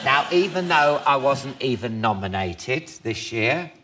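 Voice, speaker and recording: A man's voice: mid-range voice, animated, a little slow, sharp tone. A man hosting a chat show, teasing his guests with camp, cheeky banter in front of a studio audience. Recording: studio audience, noisy TV clip.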